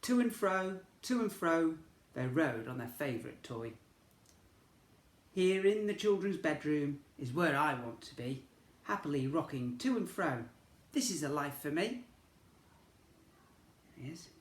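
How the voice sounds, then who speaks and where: Slow, gentle man reading to children. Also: on the high side, sing-song, reverberant. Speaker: teacher. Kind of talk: picture-book read-aloud for young children.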